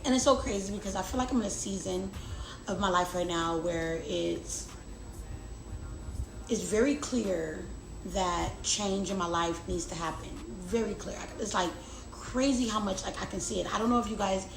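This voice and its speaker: A woman's voice: mid-range voice, moderate pace. A young adult woman vlogger chatting casually and confidingly to her viewers, often repeating words for emphasis. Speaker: lifestyle vlogger. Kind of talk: casual confiding chat to camera. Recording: roomy echo, clear background noise.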